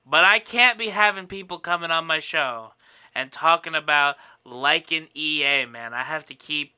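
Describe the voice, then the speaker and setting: A man's voice: a little high, normal speed, sharp tone, clean tone. A man hosting a podcast with casual, opinionated talk, given to swearing and mock indignation. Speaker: podcast host.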